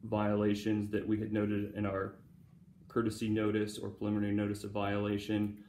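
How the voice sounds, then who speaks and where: Flat, halting male official in an echoey room. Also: fairly low pitch. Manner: procedural, halting with many pauses. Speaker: city building official. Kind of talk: formal hearing testimony. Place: indoor public hearing room. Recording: echoey room, faint background noise.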